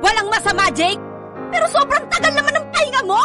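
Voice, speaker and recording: A woman's voice: very high-pitched, animated, fast talker. An actress with a Filipino accent playing a weary, reproachful working wife and mother in a radio drama. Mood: pleading, upset. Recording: dry studio with music underneath.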